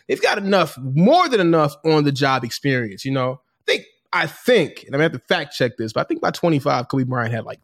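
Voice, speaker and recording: A man co-hosting a casual sports podcast in a laid-back, halting way, peppering his talk with conversational tags. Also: a little high. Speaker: sports and culture podcast co-host.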